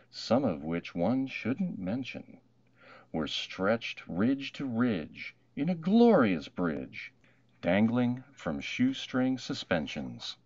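A man narrating a rhyming children's storybook in an expressive, measured way. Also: medium pitch, no echo, dull audio. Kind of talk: rhyming picture book read-aloud.

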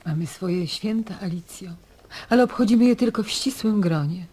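An actress playing a cautious mother in a radio drama, speaking in a soft, husky, hushed and insistent way. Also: medium pitch, normal speed, natural voice. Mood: hushed warning. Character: cautious, anxious mother warning her young daughter.

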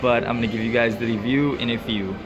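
Young man, chatty and excited vlogger, phone-recorded, loud music behind. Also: medium pitch, fast talker, lo-fi sound. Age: young adult. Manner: excited, chatty, filler-heavy. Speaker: vlogger reviewing a cafe. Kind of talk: casual vlog. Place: indoor cafe with classic cars. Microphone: phone camera at arm's length, no lapel mic. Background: loud music playing in the cafe.

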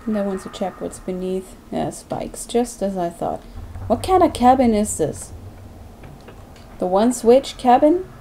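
A woman casually commenting on her own gameplay as she plays, chatty and self-deprecating, thinking out loud. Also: medium pitch, lively intonation, measured pace, slight background noise. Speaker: let's play gamer.

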